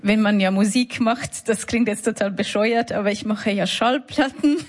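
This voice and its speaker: A woman's voice: mid-range voice, moderate pace. A woman with a Swiss German accent, a musician talking in a radio studio interview in a reflective, self-deprecating way, laughing between words. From Switzerland. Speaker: musician guest. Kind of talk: casual interview talk.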